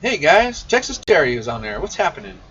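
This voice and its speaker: A man's voice: fairly high pitch, big pitch swings, brisk, sharp tone. A muffled livestream recording picks up a man a little way from the mic, with faint noise around him. He hosts casually, answering viewers directly in a folksy, slangy manner. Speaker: host of a CB radio shop live stream. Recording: muffled, noisy, distant-mic livestream audio.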